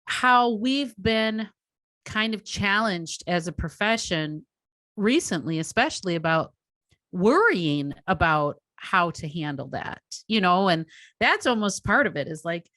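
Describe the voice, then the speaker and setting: A woman's voice: on the low side, animated, a little slow, fairly bright tone, no echo. A woman, a teacher and podcast co-host, musing aloud in a thoughtful, rambling way, full of "you know" and "like". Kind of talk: reflective casual chat.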